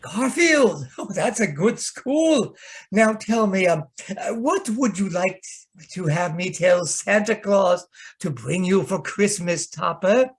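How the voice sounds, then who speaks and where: Man as effusive, husky-voiced Santa's helper. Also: high voice, lively intonation, takes it slowly, full character voice. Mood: jolly, doting. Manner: effusive, fussy. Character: kindly, gushing male Santa's helper Jack Frost. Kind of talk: old-time radio drama acting.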